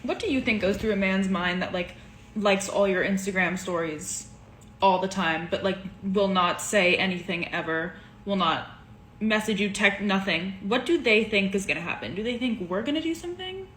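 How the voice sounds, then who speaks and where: A woman's voice: mid-range voice, normal speed, fairly bright tone, roomy sound, a little hiss or hum. A woman asking exasperated questions to camera. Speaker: social media poster. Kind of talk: casual talk to camera.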